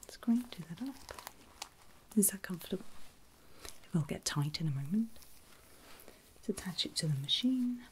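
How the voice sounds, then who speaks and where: A woman whispering gently in an ASMR medical roleplay, giving reassuring step-by-step instructions as an examiner, in a soft breathy voice. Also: lowish voice, animated, moderate pace, pauses often, slight background noise, low-quality recording. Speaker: ASMR performer playing a pre-op medical examiner.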